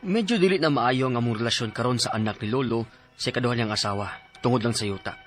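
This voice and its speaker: A man's voice: medium pitch, fast talker, faint music behind, natural voice. A man playing a frustrated, worried young grandson in an audio drama. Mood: troubled narration.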